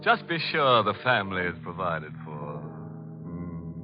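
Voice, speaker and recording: An actor playing a macabre, mock-genteel horror host who savors grisly puns on a 1940s radio show. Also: male voice, sits low, big pitch swings, unhurried. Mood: mock ominous. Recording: muffled 1940s radio recording, organ music.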